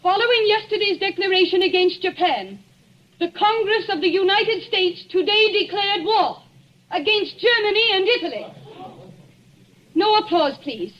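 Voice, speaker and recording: A woman's voice: very high-pitched, animated, normal speed. An actress playing a stately older lady addressing a meeting in a formal, declaiming 1940s radio manner. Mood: solemn. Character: stately older lady giving a public speech. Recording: dull old radio broadcast, heard from afar.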